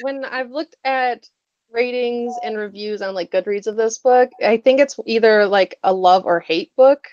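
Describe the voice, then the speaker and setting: Dry, slightly high-voiced woman, dull lo-fi sound. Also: measured pace, darkish tone, no echo. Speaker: host of a readalong live show. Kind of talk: casual book chat. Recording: dry, dull lo-fi call audio.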